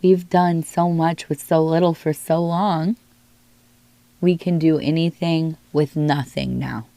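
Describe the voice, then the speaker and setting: Hoarse, low-voiced woman reading tea leaves. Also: steady tone, slow, dark tone, no room sound. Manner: musing, reassuring, talks straight to the listener. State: hoarse, losing her voice from illness. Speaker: tea leaf reader. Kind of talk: soft-spoken divination reading, ASMR.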